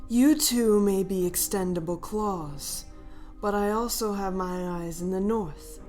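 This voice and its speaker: Cartoon dragon queen, female actor: deliberate, mock-polite menace, smug and regal, unhurried delivery over soft music. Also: mid-range voice, lightly acted. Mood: cold, menacing. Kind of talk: animated fantasy drama acting.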